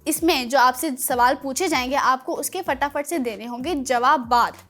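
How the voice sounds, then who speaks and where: High-voiced Pakistani woman hosting for kids. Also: normal speed, faint music behind. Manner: animated, playful, chatty. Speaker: children's show host. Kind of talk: playful quiz and segment presenting.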